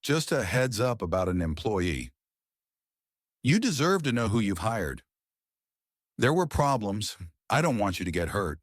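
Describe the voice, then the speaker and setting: A man's voice: on the low side, very animated, a little slow, long pauses, no room sound, clean studio sound, pitched above the performer's own voice. Man voicing a scheming, jealous wealthy woman. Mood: falsely concerned.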